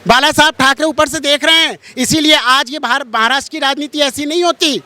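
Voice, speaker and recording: A man with a strikingly high, sharp and forceful voice holds forth as a religious interviewee. His delivery is preachy and emphatic, and he hammers points home by repeating his phrases. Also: brisk, no echo. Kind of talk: impassioned street-interview polemic.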